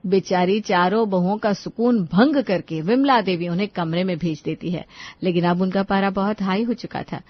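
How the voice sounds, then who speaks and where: A woman's voice: medium pitch, normal speed, no echo, highs cut off. A woman reading scripted narration for a cartoon moral story.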